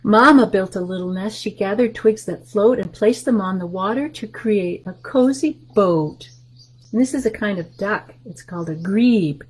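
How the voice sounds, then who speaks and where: A woman hosting a library story time, reading a picture book aloud to children and explaining the pictures in a gentle voice that often drops to a soft whisper. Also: medium pitch, moderate pace, slightly rough, rough recording.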